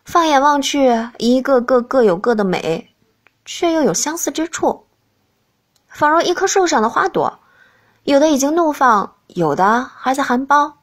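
A woman narrates an audiobook in a scripted, first-person storytelling style. Her voice sits a little higher than average for a woman. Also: expressive, normal speed.

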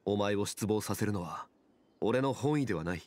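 An actor playing a calm, dignified ancient god in human form in a video game, speaking in a grave, formal, measured manner. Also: male voice, fairly low pitch, no echo, close to an everyday voice. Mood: calm, regretful. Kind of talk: video game character dialogue.

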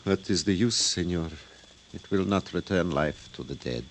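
An actor playing a formal, grieving Cuban husband with Spanish-accented English in an old radio drama. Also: male voice, low-pitched, normal speed, close to an everyday voice. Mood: distressed. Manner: formal, courteous, stilted phrasing. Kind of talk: 1950s radio drama acting. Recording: noisy old radio broadcast recording.

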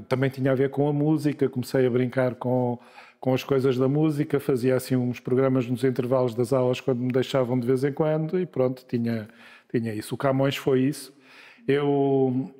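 A man in his sixties from Lisbon, telling his life story in a relaxed interview, pausing often with filler sounds. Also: mid-range voice. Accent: Lisbon Portuguese. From Portugal. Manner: unhurried, hesitant with many filler sounds and restarts. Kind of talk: conversational interview. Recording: long hall reverb.

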